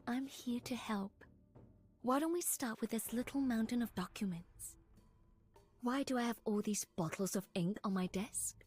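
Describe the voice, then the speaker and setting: Soft-spoken, slow, scholarly young woman. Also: on the high side. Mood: gentle, helpful. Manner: measured, formal, delicate phrasing. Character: gentle scholarly young woman, refined, devoted assistant and chronicler. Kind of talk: scripted game character voice lines, close to ASMR.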